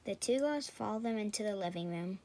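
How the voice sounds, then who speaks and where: A woman's voice: mid-range voice, lively intonation, brisk, a little mellow. A woman reading scripted story narration for a puppet show, in a slightly noisy home recording.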